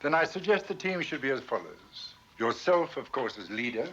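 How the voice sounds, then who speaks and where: An actor playing an imperious, courtly being who claims to be God, speaking formally and theatrically in a 1970s TV science-fiction drama. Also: male voice, medium pitch, very animated, normal speed, sharp tone, slightly rough, highs cut off, full character voice. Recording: noisy old television soundtrack.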